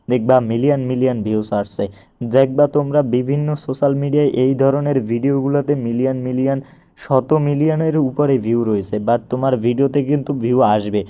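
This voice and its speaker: A man's voice: medium pitch, mellow. A man with a Bangladeshi accent giving an informal, instructional step-by-step screen walkthrough, repeating each step as he goes. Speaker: tutorial presenter.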